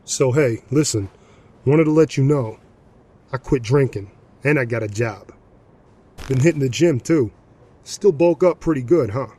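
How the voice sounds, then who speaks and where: An actor playing a brawny middle-aged gang enforcer and reformed drinker, talking in a folksy, swaggering way in an audio drama. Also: male voice, medium pitch, unhurried, long pauses, warm tone, breathy edge. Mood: earnest, subdued.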